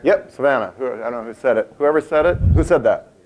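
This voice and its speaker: A man's voice: medium pitch, expressive, fast, darkish tone. Man in his fifties, conference keynote, chatty and joking, quizzes the crowd, large meeting room. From Montana. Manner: chatty, asks the audience questions, laughs at his own stories. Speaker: keynote speaker.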